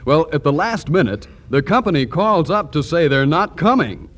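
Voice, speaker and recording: A man's voice: on the high side, lively intonation, normal speed, a bit bright. An American man announcing in the polished scripted style of a 1940s radio commercial. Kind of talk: 1940s radio advertising pitch.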